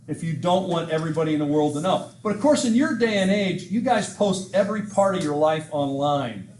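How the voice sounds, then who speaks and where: A man's voice: on the high side, lively intonation, a little fast, a little mellow. A middle-aged man lecturing a history class, chatty and self-mocking, rambling between jokes, recorded on a laptop mic. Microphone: laptop mic at a lectern. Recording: echoey classroom with background hiss.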